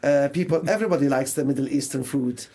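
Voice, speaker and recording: A middle-aged man from Syria, a native Arabic speaker with a Syrian Arabic accent, telling his story as a radio guest in halting English, searching for words. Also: mid-range voice, mellow. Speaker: radio interview guest, mental health charity service manager. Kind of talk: conversational radio interview, personal storytelling.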